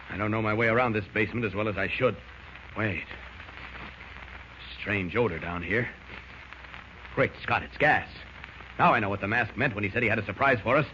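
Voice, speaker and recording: An actor playing a heroic, resolute superhero, urgently thinking aloud in 1940s radio serial style. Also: male voice, fairly low pitch, close to an everyday voice. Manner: dramatic, urgent self-narration. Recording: noisy, dull old radio recording.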